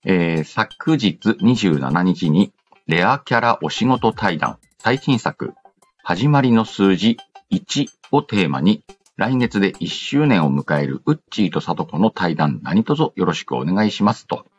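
A man's voice: low voice, sing-song, a little slow, slightly rough, dull audio. A man hosting a casual radio-style show, reading out letters and announcements with playful asides. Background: background music.

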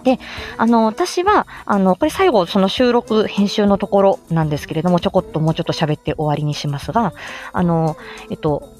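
A woman's voice: mid-range voice, brisk, darkish tone, faint music behind. A woman hosting a how-to audio show, explaining things step by step in casual, polite, unscripted talk with an encouraging manner. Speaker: host of a how-to audio show for amateur voice actors.

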